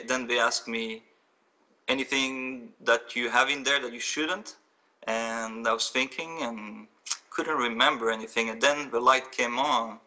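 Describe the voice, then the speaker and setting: A man, a guest telling stories in quick, earnest bursts full of fillers. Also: medium pitch, sharp tone, distant mic, low-quality recording. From France. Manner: earnest, fast, with many fillers. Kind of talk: storytelling interview answers.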